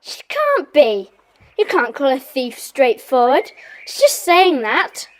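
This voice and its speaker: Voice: sits high, huge pitch swings, takes it slowly, exaggerated voice. A performer playing an eager, inquisitive British child detective in an audio drama, speaking earnestly. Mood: indignant.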